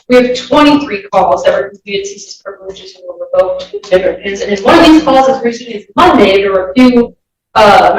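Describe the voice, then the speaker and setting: A woman's fairly high voice comes from far off in a very echoey courtroom. She argues as a prosecutor, formal, composed and matter-of-fact. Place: indoor courtroom. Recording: very echoey courtroom, distant mic.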